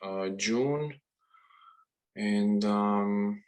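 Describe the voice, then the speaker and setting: Slow, hesitant young Croatian man. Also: lowish voice. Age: 20s. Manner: hesitant, many ums and uhs. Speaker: violinist, interview guest. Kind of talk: casual video call interview.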